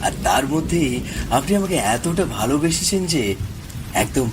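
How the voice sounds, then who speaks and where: A man's voice: on the high side, animated, moderate pace, natural voice. A man playing a polite, earnest village schoolmaster in a horror cartoon. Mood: affectionate, sympathetic. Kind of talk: Bengali horror cartoon voice acting. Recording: rain and thunder noise behind.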